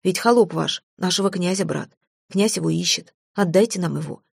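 A woman reading an audiobook, voicing a calm, steady male warrior who speaks persuasively. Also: on the low side, moderate pace, vocal fry, husky, no echo, studio quality, exaggerated voice.

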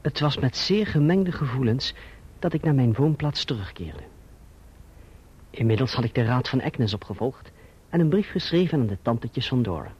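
Earnest, husky young gentleman. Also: medium pitch, expressive, moderate pace. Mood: narrating. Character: earnest, anxious young gentleman in love, narrating his story. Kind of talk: radio play acting and narration. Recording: dull lo-fi radio drama recording.

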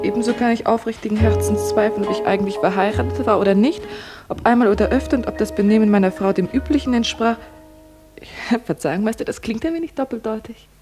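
An actress playing a young, deferential yet cheeky secretary in a German radio play. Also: female voice, on the high side, close to an everyday voice.

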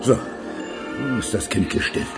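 An actor playing a sarcastic, menacing interrogator in a radio crime drama, mocking and needling. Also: male voice, lowish voice, a little slow, music in the background, lightly acted.

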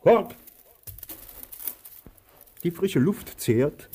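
An actor in a radio play voicing a pompous, tyrannical landowner who mixes grandiose speeches with barked commands. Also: male voice, a little high, lively intonation, extremely slow, mellow, husky, full character voice. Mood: grandiose, genial. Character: pompous, tyrannical landowner, theatrical and domineering to his servant.

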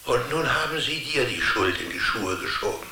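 An actor playing a kindly, scheming being from a television world in a radio drama, speaking colloquially and conspiratorially. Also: male voice, medium pitch, animated, normal speed, vocal fry, a crowd in the background. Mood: probing, sympathetic. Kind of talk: German radio drama acting. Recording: roomy sound with faint hiss.

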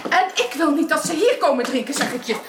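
An actress playing an irritable, domineering married sister in a period radio drama, scolding imperiously. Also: female voice, high voice, animated, fast. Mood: furious, scolding. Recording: noisy, echoing old radio recording.

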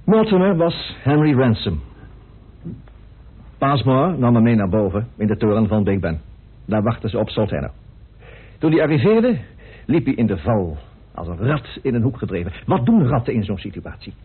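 Grim accusing guy in old radio drama, very husky, thin boxy phone-like sound. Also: medium pitch, sing-song, normal speed, pauses often. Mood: grave, insistent. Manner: emphatic, accusing, rhetorical. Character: grim, indignant man bitterly revealing a hidden truth. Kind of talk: radio play acting. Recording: muffled, narrow old radio recording.

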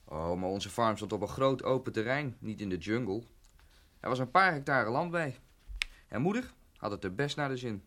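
Young man, student son, medium pitch, earnest, eager, radio drama. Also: animated, moderate pace, fairly bright tone. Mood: proud, eager. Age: young adult. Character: young student son, keen, homesick for wide-open Africa.

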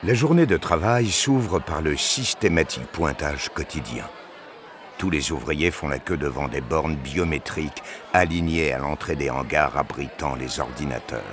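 A man's voice: very deep voice, expressive, moderate pace, a bit bright, a faint crowd, slight background noise. A man reading scripted narration for an audio drama.

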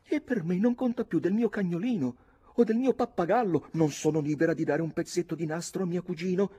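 Man putting on a high-voiced melodramatic young gentlewoman. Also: brisk, husky. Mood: indignant. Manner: theatrical, gushing exclamations.

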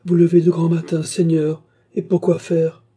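A devoted older maidservant from a storybook, voiced by a man, fussing protectively over her mistress. The voice is raised in pitch yet soft and dark, with a husky grain, and it exclaims readily in deferential protest. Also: completely flat delivery, normal speed. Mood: shocked, protesting. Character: devoted fifty-year-old peasant maidservant, fussy and indignant. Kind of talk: audiobook character dialogue.

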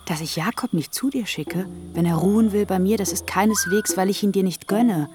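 A woman's voice: medium pitch, moderate pace, a little mellow, natural voice. An actress playing Rachel, a young barren woman pleading earnestly with her sister in a radio play.

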